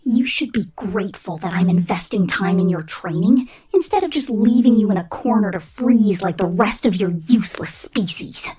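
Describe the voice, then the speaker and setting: Woman voicing J, a breathy, domineering robot boss. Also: medium pitch, animated, dark tone, faint music behind. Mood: cold, menacing. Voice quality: breathy, whispery. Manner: condescending, menacing, slow deliberate delivery slipping into whispers. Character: domineering, vain female robot corporate boss with contempt for humans. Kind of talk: ASMR roleplay monologue, scripted.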